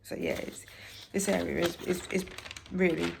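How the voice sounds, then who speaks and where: A British woman with a raspy voice, softly reading newspaper stories for ASMR and adding wry, halting asides. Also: fairly low pitch, steady tone, background noise. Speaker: ASMR creator.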